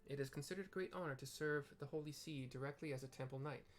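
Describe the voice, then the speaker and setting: Man reading as an eloquent young diplomat, higher-pitched. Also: quick pace, natural voice. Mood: persuasive, formal. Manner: formal, wordy, courtly. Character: eloquent, formal young male diplomat in fantasy game. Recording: clear background noise.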